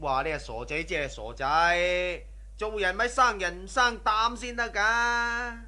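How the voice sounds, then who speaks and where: An actor playing a greedy, ruthless, boastful robber in a radio drama. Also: male voice, high voice, a little slow, sharp tone, full character voice. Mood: scornful, boastful. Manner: boastful, sneering, swaggering. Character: cocky, greedy, ruthless male robber scoffing at superstition. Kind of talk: Cantonese radio drama acting.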